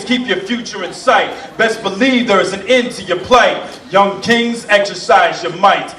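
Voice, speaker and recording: High-voiced impassioned spoken word poet, echoey. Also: male voice, a bit bright, distant mic. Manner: rhythmic, impassioned, rhyming delivery. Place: performance venue. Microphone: stage mic, heard from a distance.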